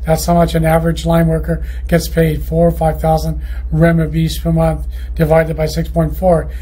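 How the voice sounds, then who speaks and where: A man who runs a loudspeaker company, talking through his factory's quality control in a rambling, casual way, often restarting his sentences. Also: fairly high pitch, completely flat delivery, normal speed, husky. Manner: rambling, many restarts and self-corrections. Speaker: loudspeaker manufacturer. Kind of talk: casual explanatory monologue.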